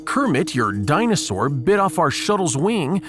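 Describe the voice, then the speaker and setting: A man's voice: on the high side, big pitch swings, measured pace, a bit bright, faint music behind. A man playing a wry, authoritative and exasperated starship captain in a sci-fi audio drama. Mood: stern, fed up.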